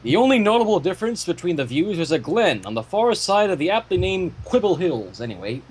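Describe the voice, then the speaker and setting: A man's voice: on the high side, very animated, normal speed, noisy background. A man hosting a fan fiction reading, delivering the story with irreverent, profane asides. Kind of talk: story reading with casual commentary.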